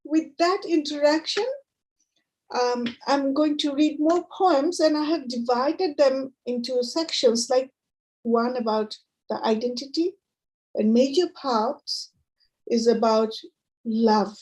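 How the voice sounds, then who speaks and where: A woman with a Bengali accent reading her poetry and introducing it to listeners in short, deliberate phrases. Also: high voice, darkish tone, rough recording. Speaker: poet.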